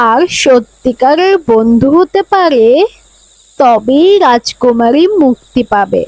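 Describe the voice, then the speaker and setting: A woman as a very slow-speaking old grandmother. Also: a little high, rough recording. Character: gentle old grandmother telling a bedtime tale. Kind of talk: slow bedtime storytelling in a cartoon.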